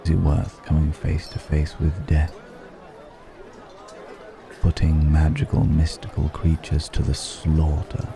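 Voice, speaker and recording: An extremely low, gravelly voice for a mysterious ancient dragon in the guise of a stranger, acted by a man. He speaks very slowly and intimately, weighing each phrase with long pauses, like a brooding philosopher in a fantasy ASMR roleplay. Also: completely flat delivery, music in the background, crowd noise, heavily acted. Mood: quietly reproachful. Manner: deliberate, pensive, with long dramatic pauses. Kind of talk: ASMR roleplay, slow intimate dramatic monologue.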